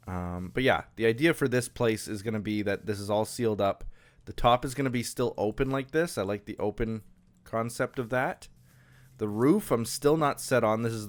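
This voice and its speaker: A man hosting a let's-play, talking casually through a blocked-up sinus, hesitant and full of ums and restarts. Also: fairly low pitch. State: stuffed up with a sinus infection. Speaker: Minecraft server let's-play host. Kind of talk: casual gameplay commentary.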